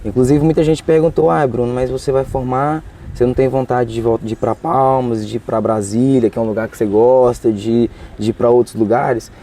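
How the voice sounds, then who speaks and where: A man's voice: mid-range voice, steady tone, a little fast, few pauses, dark tone. A man, answering interview questions earnestly and hesitantly. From Tocantins, Brazil. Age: 20s. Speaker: interviewee, law graduate.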